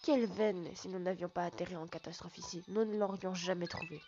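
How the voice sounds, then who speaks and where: Brief: young gamer voicing a game's spaceship computer, plain read, dull sound, loud music behind. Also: medium pitch, steady tone, fairly quick, natural voice. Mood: informative. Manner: reads on-screen game text aloud plainly. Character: ship's computer voice from a video game.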